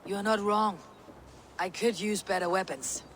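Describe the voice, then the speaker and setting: A woman playing a steady, determined Norse warrior in a video game, speaking tersely and with composure. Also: medium pitch, moderate pace, big-room sound, slight background noise, close to an everyday voice. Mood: calm, probing. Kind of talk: video game cutscene acting.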